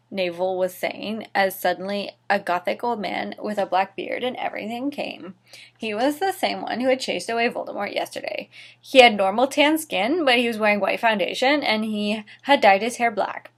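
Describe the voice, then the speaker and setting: A woman's voice: medium pitch, moderate pace. A woman reading fan fiction dramatically as host, with a sarcastic, theatrical manner and mocking asides. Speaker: host and reader of a series.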